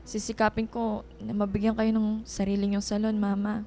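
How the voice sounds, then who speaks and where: A woman's voice: medium pitch, monotone, moderate pace, dark tone, close to an everyday voice. A woman playing a devoted, hardworking young daughter in her twenties in a Filipino radio drama, earnest and insistent. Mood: earnest, tender. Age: 20s. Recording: music behind the voice.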